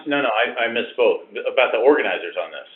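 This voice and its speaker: A man's voice: mid-range voice, lively intonation, fast, over a noisy crowd. A man with an American accent asking questions in an inquisitive, informal way during a casual phone conversation. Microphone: telephone. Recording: tinny recorded phone call.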